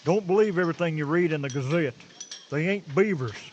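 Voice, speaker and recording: Guy as a blustery southern sheriff, kinda high voice, cranky, self important, noisy radio play. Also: a little fast, muffled recording, close to an everyday voice. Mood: gruff, irritable. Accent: Southern American. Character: blustery, irritable small-town sheriff, self-important man. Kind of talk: comic radio drama acting.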